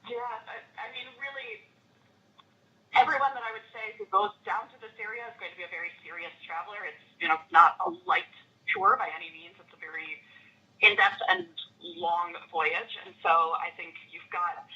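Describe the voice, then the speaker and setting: A woman's voice: medium pitch, normal speed, pushed and bright. A woman working as a travel agent, explaining things patiently and firmly to a customer over the phone. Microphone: telephone. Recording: dull, band-limited phone line.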